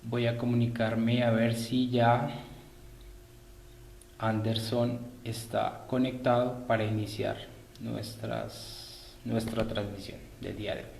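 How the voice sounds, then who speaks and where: Male live-stream host, unhurried and polite, improvising his announcements, recorded in a roomy, echoing space. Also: mid-range voice, level tone, gravelly, a little mellow, slight background noise. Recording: roomy echo.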